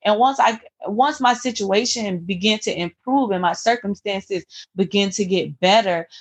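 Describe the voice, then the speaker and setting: A woman's voice: mid-range voice, moderate pace, slightly rough. A young adult woman, a guest author, tells her life story in a podcast interview, earnest and reflective, often restarting her sentences. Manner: earnest, reflective, many restarts and "you know".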